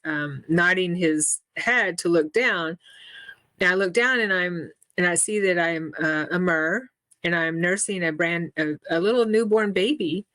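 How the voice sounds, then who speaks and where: A middle-aged woman with a low voice talks in a halting, searching way. She stops, restarts and fills pauses with ums. The sound is dry and close. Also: fairly bright tone, no room sound. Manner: halting, many restarts and fillers, laughs often. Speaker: interview guest. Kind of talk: casual spoken interview.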